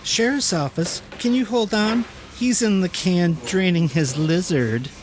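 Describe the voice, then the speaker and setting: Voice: medium pitch, a little slow, a little hiss or hum, lightly acted. A performer playing a sassy, precocious young woman, flippant and smart-mouthed, in an amateur radio play. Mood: cheeky.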